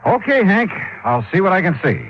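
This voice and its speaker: Streetwise old time radio detective narrating his case, very animated pitch, muffled old recording. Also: brisk, loud music behind the voice. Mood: probing. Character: wry, streetwise freelance insurance investigator, adult man.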